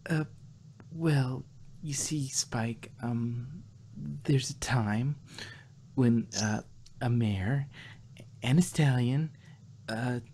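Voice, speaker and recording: Woman acting exasperated young pony scholar, slightly husky. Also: very deep voice, very slow, long pauses, warm tone, exaggerated voice. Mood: flustered. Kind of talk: fan fiction dramatic reading.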